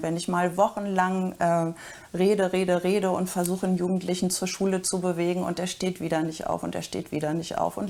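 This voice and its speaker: A woman's voice: lowish voice, dark tone. A woman reflecting in an interview, searching for her words and repeating them.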